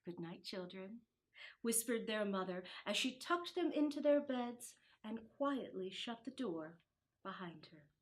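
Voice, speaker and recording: A woman reading a children's Christmas storybook aloud in an expressive, deliberate way. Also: on the high side. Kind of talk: storybook narration.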